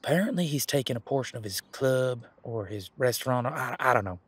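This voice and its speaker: Man voicing a male werewolf security guard, husky, mid-pitched, dry close sound, colloquial, responsible, easygoing, casual roleplay audio. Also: animated, a bit bright, no echo. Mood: frank, uncertain. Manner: colloquial, halting, matter-of-fact.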